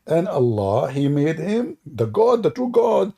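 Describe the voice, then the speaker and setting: A man's voice: a little high, very animated, measured pace, creaky, warm tone, slightly rough. A man with an Arabic accent, a native Arabic speaker, hosting a live stream in a sarcastic, teasing manner. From Middle East. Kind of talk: mocking commentary on a live stream.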